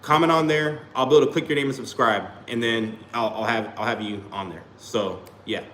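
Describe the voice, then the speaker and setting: Male streamer, mid-pitched, rambling casual delivery, echoey room with faint hum. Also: steady tone, brisk, a bit bright. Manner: rambling, repeats "you feel me". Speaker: small YouTuber live-streaming. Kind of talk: casual livestream talk to chat. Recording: roomy echo, faint background hum.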